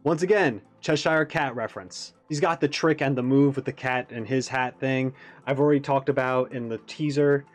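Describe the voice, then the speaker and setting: A man hosts a reaction video with a mid-pitched voice. He rambles enthusiastically through his analysis in a casual way, with faint music behind him. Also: moderate pace.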